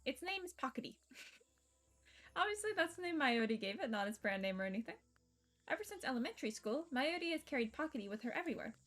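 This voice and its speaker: A young woman streamer reading a visual novel aloud in a fairly high voice. Her narration is casual, broken up by laughs and the odd swear word. Faint game music sits underneath. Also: sing-song, brisk, a bit bright.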